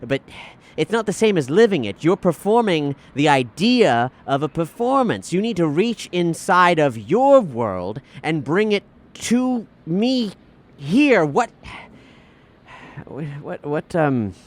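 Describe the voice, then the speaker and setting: A man's voice: fairly high pitch, sing-song. A man playing a demanding, pompous acting teacher in an improvised audio role-play, theatrical and exasperated, repeating himself for emphasis. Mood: exasperated, lecturing. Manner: dramatic pauses, repeats himself, pompous, searching for words.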